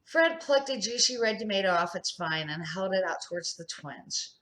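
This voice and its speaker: A woman's voice: mid-range voice, expressive, normal speed, a bit bright, a little hiss or hum. An older woman, a grandmother, narrating a children's storybook aloud in a plain, amateur reading style.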